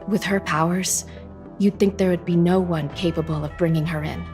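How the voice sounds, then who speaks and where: A woman voicing a solemn, dutiful young military escort in a video game, wary and formal, with measured delivery. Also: lowish voice, fairly even intonation, darkish tone, soft background music, natural voice. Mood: grave, explanatory.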